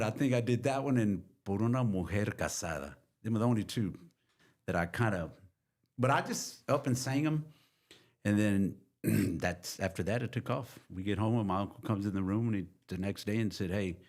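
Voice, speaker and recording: An older man from Texas, a guest musician, telling long rambling stories in a relaxed chat. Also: on the low side, moderate pace. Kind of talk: casual interview storytelling.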